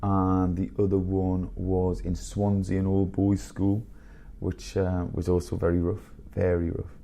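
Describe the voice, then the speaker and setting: Gravelly, blunt headmaster, around fifty. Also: male voice, sits low, a little slow, a little hiss or hum. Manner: blunt, animated storyteller with bursts of laughter. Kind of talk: candid conversational interview.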